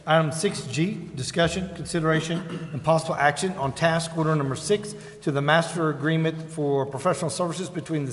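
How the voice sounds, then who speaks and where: A man chairing a formal meeting, reading out agenda items and calling for discussion in procedural language. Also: a little high. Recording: echoey council chamber, faint noise.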